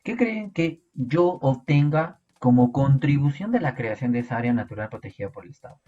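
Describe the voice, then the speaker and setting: A man's voice: mid-range voice, lively intonation, fairly quick, mellow, lo-fi sound. A man with a Peruvian Spanish accent teaching an online biology class, explaining step by step and asking his students rhetorical questions. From Peru.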